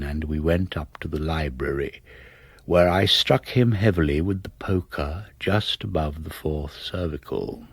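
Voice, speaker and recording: A cold English killer in a radio play. His voice is extremely low and slightly husky, recorded close and dry. He sets out his confession with measured, clinical precision. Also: no room sound, exaggerated voice. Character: coldly methodical male murderer confessing in precise detail. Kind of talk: British radio drama monologue.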